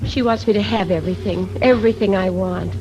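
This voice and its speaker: An actress playing a vain, needy thirty-eight-year-old murder suspect coming apart, in an old 1950s radio drama. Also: female voice, mid-range voice, brisk, highs cut off, noisy background, close to an everyday voice. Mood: coy, wistful. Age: middle-aged. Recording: vintage 1950s radio recording.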